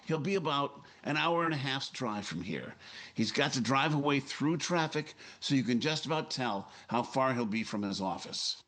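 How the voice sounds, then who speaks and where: A man's voice: medium pitch, expressive, moderate pace, a bit bright, lightly acted. A man playing a determined, fatalistic newspaper editor, terse and matter-of-fact, in a 1950s-style radio drama. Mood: resolute calm.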